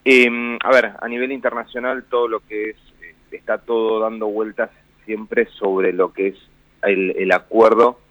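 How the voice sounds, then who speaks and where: A man's voice: fairly low pitch, level tone, measured pace, no room sound, dull audio. A man from Buenos Aires with a Rioplatense accent and a slight northern lilt, giving finance commentary on the radio, rambling and restarting often. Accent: Rioplatense Spanish with a slight northern Argentine lilt. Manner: rambling, with frequent restarts and fillers.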